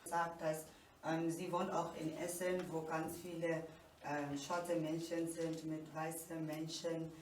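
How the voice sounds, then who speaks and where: A woman interpreting a talk, speaking slowly and searching for words. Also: low voice, little pitch movement, darkish tone. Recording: roomy, from a distance, faint background noise.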